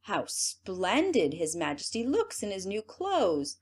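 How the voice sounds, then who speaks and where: A woman voicing flattering male courtiers in a storybook, making slow, exaggerated exclamations. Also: medium pitch, heavily acted. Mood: fawning.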